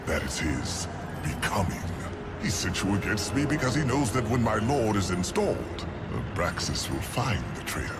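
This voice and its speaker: An actor playing a smooth-talking, scheming male demon in a game cutscene, theatrical and sly, swinging from sing-song lilts to a menacing growl. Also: on the low side. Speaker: game character. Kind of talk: video game cutscene acting. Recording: game cutscene with loud music.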